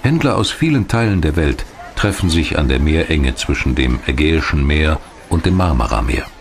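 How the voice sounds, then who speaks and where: Man, deep low voice, documentary narrator, scripted reading, measured and deliberate delivery. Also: animated, gravelly.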